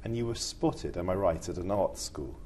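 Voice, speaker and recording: A man interviewing a guest, asking short questions in a brisk, polite way. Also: low voice, lively intonation, a little mellow, a little hiss or hum.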